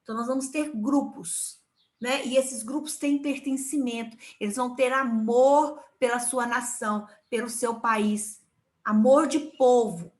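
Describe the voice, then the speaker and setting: A woman with a Brazilian Portuguese accent teaching a history lesson to children, explaining slowly and patiently. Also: fairly high pitch, a little mellow, reverberant. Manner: slow, patient classroom explaining.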